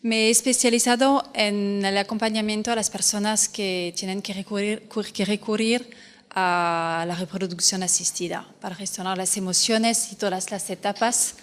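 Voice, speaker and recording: A woman's voice: medium pitch, steady tone, moderate pace. French lady coach talking at a fair, earnest, keeps restarting, long hall echo. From France. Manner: earnest, explanatory, with frequent restarts. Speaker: fertility coach giving a talk. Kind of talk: conference talk. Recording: hall reverb.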